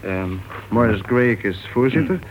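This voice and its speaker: An actor playing a deferential, matter-of-fact police sergeant in a Dutch radio play. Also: male voice, medium pitch, expressive, measured pace, close to an everyday voice. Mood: dutiful, earnest. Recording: dull, noisy old radio play recording.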